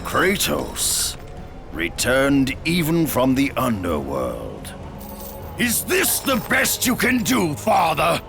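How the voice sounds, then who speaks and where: A man voicing a giant, taunting god of war in a video game, theatrical and full of gravelly contempt. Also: mid-range voice, wildly swooping pitch, slow, full character voice. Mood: triumphant, taunting. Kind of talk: dramatic video game acting. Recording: loud music behind the voice. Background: music.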